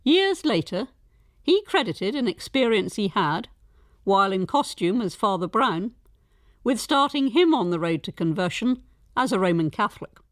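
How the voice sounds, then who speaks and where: A woman presenting a scripted documentary in a measured, articulate way. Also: medium pitch, sing-song, dry recording.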